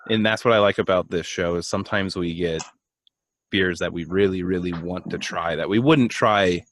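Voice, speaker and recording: A man's voice: low voice, moderate pace, fairly bright tone. A man co-hosting a beer podcast, chatting casually and joking with lots of fillers. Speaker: podcast co-host. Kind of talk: casual chat.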